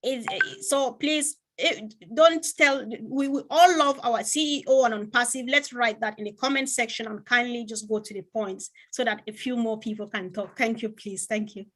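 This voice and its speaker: A woman's voice: fairly high pitch, fairly even intonation, normal speed, few pauses, fairly bright tone. A woman moderating an online meeting over a video call, polite and pleading, thanking speakers often.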